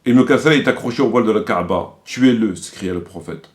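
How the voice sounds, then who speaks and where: A man's voice: on the low side, fairly quick. A man delivering critical commentary, quoting sources didactically and repeating his points for emphasis.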